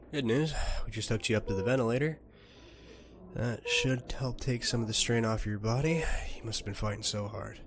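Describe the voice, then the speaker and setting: A man playing a calm emergency doctor in an audio roleplay, gentle and reassuring yet authoritative. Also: on the low side, lightly acted. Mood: focused, relieved. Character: calm, reassuring male emergency doctor tending critical patient.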